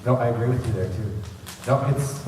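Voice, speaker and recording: A man's voice: mid-range voice, fairly quick. Echoey man hosting a fan panel. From Canada. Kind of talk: informal convention presentation. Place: large convention centre room. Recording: echoey hall, distant mic, clear noise.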